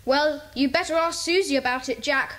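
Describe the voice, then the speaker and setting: A woman's voice: sits high, normal speed, a bit bright. A woman playing a polite, confident British schoolboy leader in a children's audio drama. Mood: assured.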